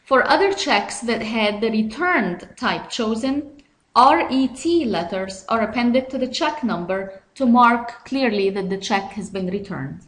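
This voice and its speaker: A woman reading scripted step-by-step software instructions in a measured, instructional way. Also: fairly high pitch, lively intonation, roomy sound, low-quality recording. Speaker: software tutorial narrator.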